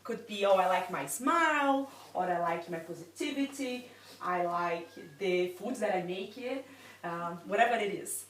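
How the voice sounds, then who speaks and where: A woman's voice: mid-range voice, animated, moderate pace. Woman, workshop host, friendly, laughs easily, echoey room, distant mic, faint noise. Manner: friendly, laughs between instructions. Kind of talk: leading a small group workshop.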